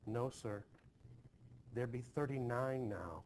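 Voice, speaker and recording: A man playing a timid, shaken young Puritan servant girl in an expressive play reading, meek and pleading in archaic phrasing. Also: mid-range voice, slow, close to an everyday voice. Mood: tearful, fearful. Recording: noisy home recording.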